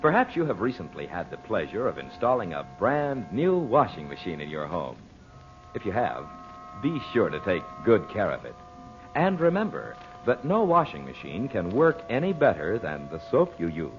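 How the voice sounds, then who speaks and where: A muffled vintage broadcast with faint music behind a male announcer. He delivers scripted sponsor copy in a polished, deliberate 1940s style, his pitch rising and falling in an animated way. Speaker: radio announcer.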